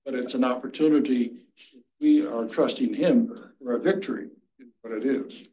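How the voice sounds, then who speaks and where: An older man in a church class making a measured, reflective comment from his seat. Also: medium pitch, warm tone, rough recording. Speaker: class member. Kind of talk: comment from the audience.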